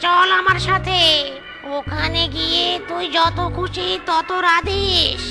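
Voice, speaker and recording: A woman's voice: very high-pitched, little pitch movement, slow pace, creaky, heavily acted. A woman voicing a bullying, commanding god of death in a comic cartoon, barking insistent orders. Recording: loud music behind the voice.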